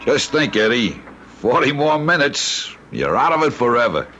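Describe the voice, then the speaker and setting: Sharp, bright-voiced teasing cop. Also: male voice, medium pitch, sing-song, normal speed, highs cut off. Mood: brisk, teasing. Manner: clipped, slangy, needling. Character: brisk, teasing male police colleague. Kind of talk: old-time radio drama acting. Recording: old radio recording with faint noise.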